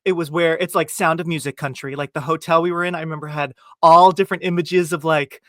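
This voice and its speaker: An American man with a somewhat high voice chats as a podcast host in an enthusiastic, informal way, often saying "like". The sound is dry and close, with very little room in it. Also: steady tone, fast talker, dry recording. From New Jersey, United States. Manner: chatty, enthusiastic, uses "like" often. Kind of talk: casual chat.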